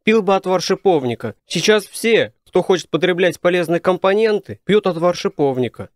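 A man's voice: high voice, lively intonation, normal speed, no room sound, clean studio sound, pitched above the performer's own voice. A man voicing a scornful, know-it-all teenage son in a satirical family audio play. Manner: smart-alecky, dismissive.

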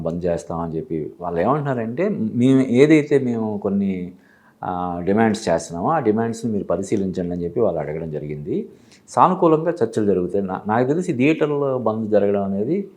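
A man giving explanatory commentary as an interview guest, halting and repeating phrases as he goes. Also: fairly low pitch, warm tone. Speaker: film industry analyst, studio guest. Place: TV studio.

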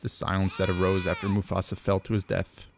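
A man's voice: low voice, monotone, fairly quick, creaky, noisy background. A man narrating a scripted video essay as its host, in a conversational, reflective way. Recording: music under the voice. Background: background music.

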